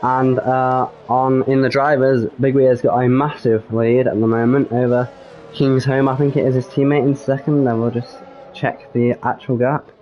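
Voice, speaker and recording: Guy doing solo sim race commentary, bit croaky w a cold, lots of ums, faint hum behind. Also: medium pitch, little pitch movement, normal speed, highs cut off. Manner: hesitant, frequent ums and self-corrections. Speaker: sim racing league commentator.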